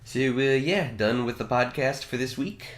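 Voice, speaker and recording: A man's voice: mid-range voice, moderate pace, echoey room. A man hosting a podcast, retelling a story and chatting casually, earnest and a little self-conscious. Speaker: podcast host. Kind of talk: casual podcast narration and chat. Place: room in a home.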